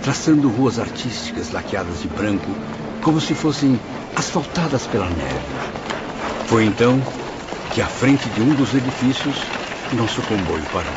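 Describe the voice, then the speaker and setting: A man's voice: mid-range voice, very animated, moderate pace, heavy background noise, close to an everyday voice. An actor in a radio drama playing an anguished man's spirit who recalls the afterlife in a dramatic, awed, reflective way. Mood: nostalgic. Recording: dull lo-fi radio drama under music.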